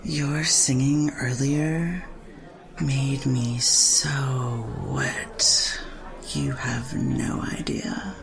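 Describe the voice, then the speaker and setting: A man voicing a flirtatious woman in her thirties in a storybook reading, with a breathy, drawn-out delivery. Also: mid-range voice, steady tone, music in the background, voice pushed up. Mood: seductive.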